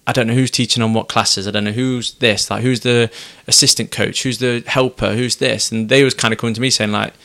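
A young man, a business owner, talking in a soft, hesitant way with many pauses and restarts. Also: medium pitch, steady tone. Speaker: business owner and podcast guest. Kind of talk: reflective casual chat.

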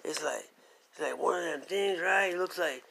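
Gravelly man rambling in slangy stammers. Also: a little high, normal speed. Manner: slangy, repetitive, stammering, excitable. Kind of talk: rambling comic storytelling. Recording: slightly noisy recording with clicks.

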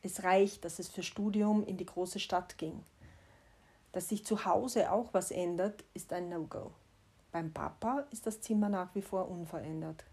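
A woman in her forties with a Styrian Austrian accent, speaking in a candid, wry, gently self-mocking personal monologue. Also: on the low side, fairly even intonation, measured pace, slightly rough. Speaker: vlogger.

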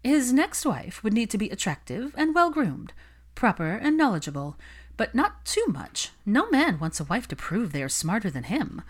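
Casting: female narrator as young society gossip, smug and affected, raised lively voice, audiobook character. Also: fairly high pitch, moderate pace, breathy edge, voice pushed up. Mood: smug, preening. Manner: affected, bright, confiding. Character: young society gossip, gushing, smug, husband-hunting witch.

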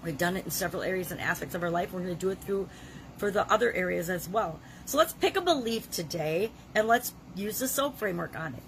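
Woman with a gravelly cold voice. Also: lowish voice, animated, quick pace, slight background noise, low-quality recording. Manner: earnest, conversational, with frequent restarts. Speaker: self-help coach leading a challenge. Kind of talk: motivational talk to camera.

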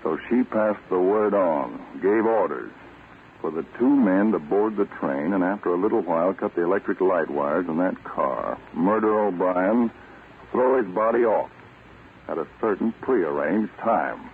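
A stern American government spy-catcher in a 1940s radio play. His voice is very low, deliberate and self-assured. The old recording is thin and narrow, as if through a small speaker. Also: lively intonation. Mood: grave, explaining. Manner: deliberate, authoritative, explanatory. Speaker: radio drama actor. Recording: muffled, tinny, noisy old radio recording.

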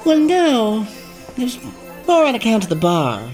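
Radio comedy horror: amiable, tipsy old Irish puka rabbit, rambling and repeating himself, very lively intonation. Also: male voice, very high-pitched, measured pace, soft background music, exaggerated voice. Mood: sheepish, easygoing. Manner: hesitant, rambling, repeats words. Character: tipsy, amiable old man turned giant rabbit spirit. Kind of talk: comic horror radio play acting.

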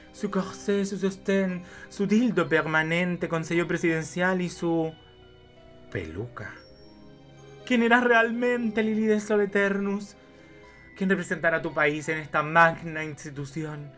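A man playing a flamboyant, lascivious art-film director in a campy radio play, with melodramatic, pompous, drawn-out delivery. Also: sits high, a bit bright, faint music behind. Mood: grief-stricken, grandiose.

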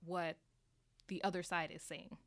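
A woman's voice: lowish voice, level tone, a little slow, a bit bright, no echo, studio quality. A woman thinking aloud in a casual group discussion, hesitant and thoughtful. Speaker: discussion participant.

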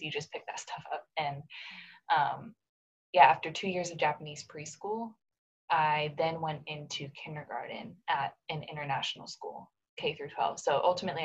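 A woman's voice: low-pitched, slightly rough, dull audio. A woman in her twenties, a podcast guest, telling her background casually with fillers and light laughs. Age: 20s. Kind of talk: casual conversational storytelling.

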